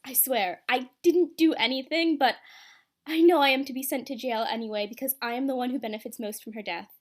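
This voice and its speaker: A woman playing an anxious, earnest nurse in an amateur radio play. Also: high-pitched. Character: anxious, pleading young female nurse under suspicion.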